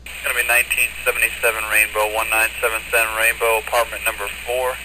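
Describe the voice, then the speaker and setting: A man's voice: lowish voice, flat delivery, fast. An American man working as a police radio dispatcher, matter-of-fact, giving clipped procedural calls over a scanner. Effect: police radio transmission. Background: loud radio noise.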